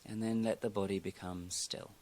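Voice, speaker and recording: A man speaking as a yoga nidra teacher, giving calm, unhurried guided meditation instructions with long pauses. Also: lowish voice.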